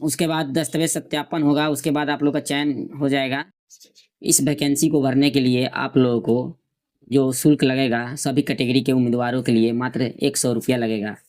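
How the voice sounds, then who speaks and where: A man's voice: mid-range voice, flat delivery, moderate pace, a little mellow. A man giving an informal screen-share tutorial, repeating himself as he reads out notice details and instructions. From India. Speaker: online centre presenter explaining job notifications.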